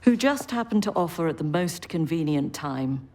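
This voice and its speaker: An actress playing a stern, skeptical intelligence chief in a video game cutscene, probing and authoritative. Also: female voice, low-pitched, animated, normal speed, darkish tone. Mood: skeptical, firm. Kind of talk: cinematic video game acting.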